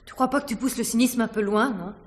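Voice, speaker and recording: An actress playing a scornful wife with biting, theatrical delivery in a radio mystery. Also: female voice, a little high, quick pace, close to an everyday voice. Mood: cool, scornful. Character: scornful wife, a stage actress sparring with her husband. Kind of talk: 1950s-style French radio mystery acting.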